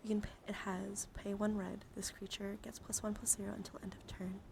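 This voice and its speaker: Casting: female ASMR stream host, gentle and breathy, slipping into whispers, noticeable vocal fry, clear background noise. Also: mid-range voice, flat delivery, brisk. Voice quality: breathy soft-spoken, often dropping to a whisper. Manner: gentle, affectionate, attentive to viewers. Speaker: livestream host. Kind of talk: ASMR livestream, casual chat to viewers.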